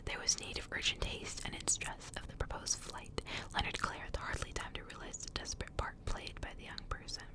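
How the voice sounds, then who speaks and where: A woman speaking in a breathy, unvoiced whisper, soft and intimate, as an ASMR host and reader. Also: brisk. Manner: soft, hushed, intimate delivery. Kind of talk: whispered ASMR story reading and chat.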